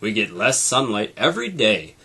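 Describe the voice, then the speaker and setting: A man's voice: lowish voice, big pitch swings, moderate pace, pushed and bright, breathy edge, full character voice. A man voicing a helpful, knowledgeable talking airplane radio that acts as a guide in a children's storybook. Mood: informative.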